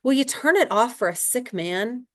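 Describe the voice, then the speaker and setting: A woman's voice: fairly high pitch, lively intonation, moderate pace, a bit bright, voice pushed up. A woman reading a sick, anguished fireman. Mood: weary. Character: troubled feverish fireman, adult man.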